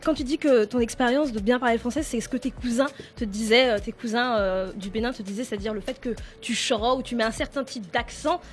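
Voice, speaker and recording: Moderating woman, slightly high voice. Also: fairly quick, hardly any pauses, faint music behind. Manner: hesitant, many restarts, asks questions. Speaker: podcast host and moderator. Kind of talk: casual panel discussion.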